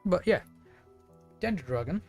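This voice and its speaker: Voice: mid-range voice, expressive, unhurried, creaky, a little mellow, breathy edge. A game streamer giving casual live commentary, thinking aloud and speculating in a musing way. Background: game music.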